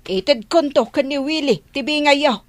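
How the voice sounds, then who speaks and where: A woman's voice: high-pitched, normal speed, lightly acted. A woman with a Filipino Ilocano accent playing a firm, plain-spoken, hardworking old mother and grandmother in a radio drama.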